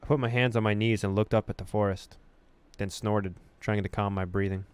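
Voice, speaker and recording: A man reading a horror visual novel aloud as a gaming host, with expressive storytelling and casual delivery. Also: lowish voice, fairly quick, clear, resonant tone, dry recording.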